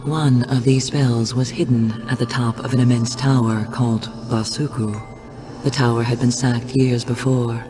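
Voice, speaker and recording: Man as solemn, even-toned storyteller in long reverb. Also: mid-range voice, noisy background, lightly acted. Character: solemn male storyteller recounting an ancient fantasy saga. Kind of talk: scripted video game narration.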